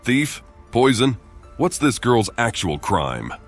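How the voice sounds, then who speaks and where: A man's voice: medium pitch, sing-song, slow pace, husky, heavily acted. An actor playing a firm, composed male paladin in a fantasy game, speaking formally and directly. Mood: stern, judicial. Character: firm, authoritative male paladin judging fairly. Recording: music behind the voice.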